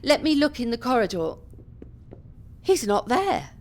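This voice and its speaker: An actress playing a brisk, scolding old care-home ringleader in an audio drama. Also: female voice, fairly high pitch, big pitch swings. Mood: urgent.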